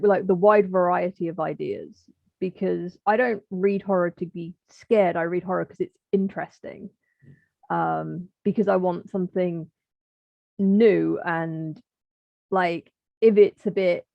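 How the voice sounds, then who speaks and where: A woman with a gravelly, creaky edge and a mid-pitched voice talks casually as a podcast guest. The sound is dry and a little dull. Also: dry recording. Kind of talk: casual chat, reflective.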